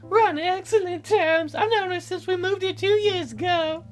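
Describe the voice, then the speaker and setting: Performer putting on a very high-pitched haughty widow. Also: female voice, normal speed, faint music behind. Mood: composed, gracious. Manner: dramatic, affected, proper. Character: grieving young widow, haughty refined romance novelist.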